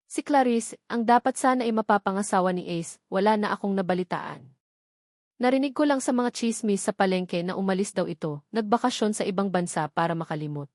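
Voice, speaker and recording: Female storyteller, medium pitch, scripted first-person romantic drama narration, dry recording. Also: a little fast, a little mellow, no room sound.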